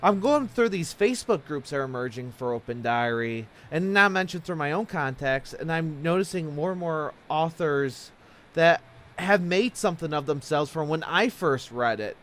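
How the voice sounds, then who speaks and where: A man with a slightly high voice interviews in a casual, loose style. He rambles through his thoughts and questions informally, like an amateur host talking things over with a guest. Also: lively intonation, normal speed, a bit bright. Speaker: interviewer, talk show host.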